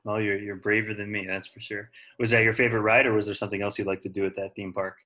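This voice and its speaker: Low-voiced male teacher. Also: flat delivery, quick pace, sharp tone. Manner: encouraging, informal. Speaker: science teacher. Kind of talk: casual online class talk. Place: online video class.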